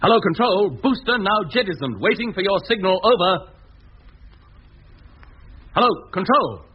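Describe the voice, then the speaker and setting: Brisk high-pitched 1950s spaceship captain. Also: male voice, lively intonation. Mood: commanding, urgent. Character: commanding male rocket captain, brisk and steady under pressure. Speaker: actor in a radio serial. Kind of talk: 1950s British radio drama acting. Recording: muffled, hissy 1950s radio recording.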